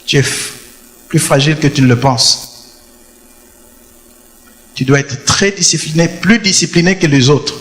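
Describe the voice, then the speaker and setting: Male pastor, fairly high voice, emphatic repetitive preaching, long church-hall reverb. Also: measured pace, long pauses, fairly bright tone. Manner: emphatic preaching, repeats phrases for effect. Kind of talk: sermon.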